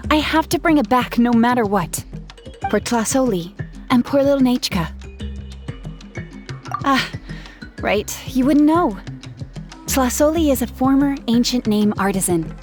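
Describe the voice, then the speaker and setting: A woman's voice: a little high, expressive, measured pace, long pauses, a little mellow. A young woman voicing an earnest, friendly gem artisan in video game dialogue, telling her story in a chatty way. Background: game soundtrack music.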